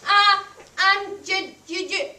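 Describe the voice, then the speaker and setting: A performer voicing a timid, friendly little male ogre from a puppet storybook, squeaky and prone to stutter. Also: slow pace, sharp tone, reverberant, exaggerated voice. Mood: anxious, stuttering.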